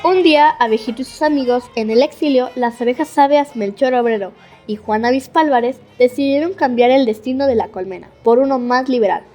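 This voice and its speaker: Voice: high voice, brisk, a little mellow, soft background music. A child narrator reading a scripted story for a school audio drama.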